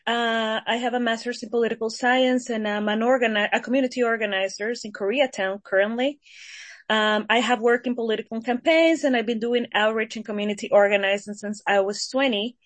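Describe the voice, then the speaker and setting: A woman's voice: fairly high pitch, fairly even intonation, brisk, no echo. Earnest woman from El Salvador. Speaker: community organizer. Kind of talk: public meeting self-introduction.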